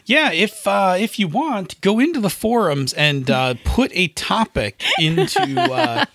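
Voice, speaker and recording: High-pitched, animated man podcasting. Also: unhurried, no room sound. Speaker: podcast co-host. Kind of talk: casual podcast banter.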